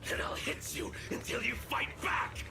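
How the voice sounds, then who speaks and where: A man playing a brash, proud young swordsman in a video game, gravelly and cocky, with an intense, taunting delivery. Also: very high voice, wildly swooping pitch, measured pace, in a whisper, music in the background, exaggerated voice. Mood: furious, taunting. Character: brash, proud young male swordsman rival, stubborn and aggressive.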